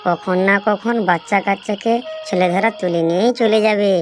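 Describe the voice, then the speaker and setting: A woman's voice: mid-range voice, steady tone, normal speed, lightly acted. A woman voicing a worried village mother in a cartoon, chatting with a neighbor about a child snatcher. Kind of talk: cartoon voice acting. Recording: background music.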